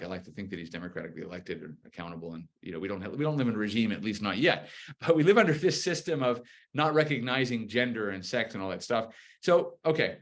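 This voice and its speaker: Low-voiced man explaining politics. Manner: articulate, explanatory, arguing point by point. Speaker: political commentator, guest speaker. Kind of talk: conversational political commentary.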